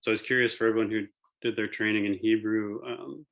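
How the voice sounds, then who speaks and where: A man's voice: on the low side, steady tone, fast, vocal fry, fairly bright tone. A man asking questions in a casual group discussion in a tentative, hedging way, on dull lo-fi audio.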